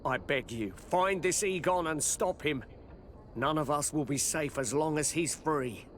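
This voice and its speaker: An actor playing a frightened, deferential merchant in a video game, hesitant and earnest. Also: male voice, fairly high pitch, measured pace, slight background noise, lightly acted. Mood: worried. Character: frightened, deferential male shopkeeper, earnest and grateful.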